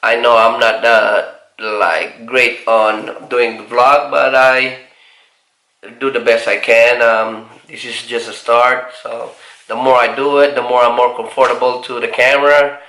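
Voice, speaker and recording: A forty-year-old man from the Philippines answering viewer questions in a casual vlog, halting with many fillers and restarts. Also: medium pitch, level tone, sharp tone, roomy sound, low-quality recording. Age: 40s. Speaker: car vlogger.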